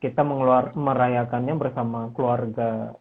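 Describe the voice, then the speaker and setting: A man's voice: mid-range voice, steady tone, normal speed. A man hosting a casual interview, polite and respectful, with frequent fillers as he asks questions. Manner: polite, says "Pak", many fillers. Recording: tinny phone-like audio.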